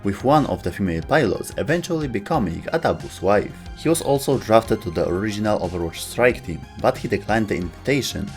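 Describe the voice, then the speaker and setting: A man's voice: fairly low pitch, expressive, brisk, few pauses. A man reading scripted narration for a video game lore explainer. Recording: music under the voice. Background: music.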